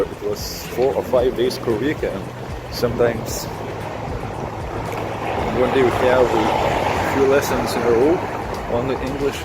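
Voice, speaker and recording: Lithuanian man talking over loud music. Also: medium pitch, poor audio. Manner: halting, searching for words, with repeated restarts. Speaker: vlogger. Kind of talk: casual personal storytelling.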